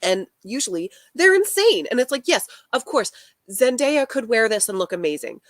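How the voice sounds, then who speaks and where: A woman talking in a casual live chat about fashion, deadpan and wry. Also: a little high, normal speed, no echo, clean studio sound. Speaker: fashion commentary creator.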